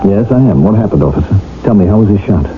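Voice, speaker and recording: Actor as a low-voiced, world-weary doctor narrator. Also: male voice, expressive, fast, background noise, close to an everyday voice. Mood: alarmed. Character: world-weary middle-aged male doctor narrating his tale. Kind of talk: radio drama acting, storytelling. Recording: dull lo-fi old radio broadcast.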